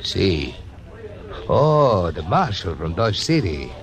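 An actor playing a boastful, excitable casino owner with a Spanish accent, in a 1940s radio drama. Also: male voice, deep voice, slow, exaggerated voice. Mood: florid,wheedling. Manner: theatrical, florid, swooping phrases.

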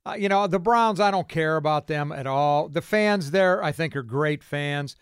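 Man, American accent, sports talk radio host, high clear voice, casual delivery. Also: normal speed, no echo, clean studio sound.

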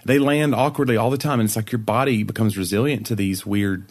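A man's voice: lowish voice, brisk. A man co-hosting a podcast, chatting casually and teasing his partner with jokes. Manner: joking, teasing, animated. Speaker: podcast co-host. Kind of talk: casual comedic chat.

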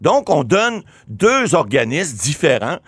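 Lawyer guy from ottawa on talk radio, lively up-and-down voice, kinda high, dry close mic. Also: slow pace, breathy edge, no room sound. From Ottawa, Canada. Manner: animated, explanatory, emphatic. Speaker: lawyer guest. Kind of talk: radio interview.

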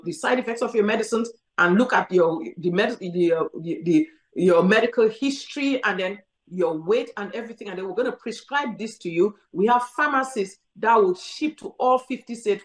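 A woman answers callers as a doctor. She is emphatic and insistent, and circles back to the same advice again and again. Her mid-pitched voice rings around a reverberant room. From Cameroon. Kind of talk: call-in advice.

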